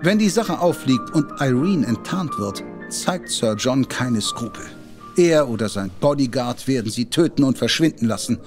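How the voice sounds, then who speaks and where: An actor playing a proud, protective detective who speaks emphatically and with indignation. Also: male voice, medium pitch, expressive, normal speed, music in the background, natural voice. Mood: indignant, lively. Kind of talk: German audio drama acting. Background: faint background score.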